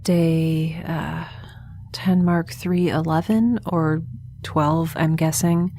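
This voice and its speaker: An actress playing a weary, wry young woman stranded in space, recording a halting, dry audio diary in a science fiction drama. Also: sits low, fairly even intonation, mellow, slight background noise. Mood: weary, uneasy.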